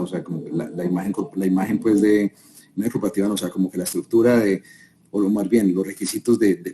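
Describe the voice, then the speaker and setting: Colombian male speaker, low and very dark voice, chatty seminar delivery to a room. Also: slight background noise. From Colombia, Quindío. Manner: conversational, asks the audience rhetorical questions. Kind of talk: live talk to an audience. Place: room with a live audience.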